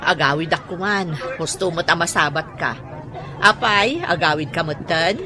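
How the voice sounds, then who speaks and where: A woman's voice: fairly low pitch, animated, moderate pace, a crowd in the background, lightly acted. A woman playing an exasperated, nagging mother in a radio drama, with a northern Philippine accent. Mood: surprised, defensive.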